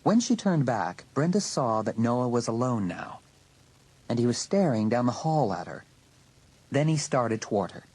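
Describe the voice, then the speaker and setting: A man narrates an audiobook drama from a script. His voice is husky, at an average male pitch. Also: very animated, moderate pace, mellow, rough recording.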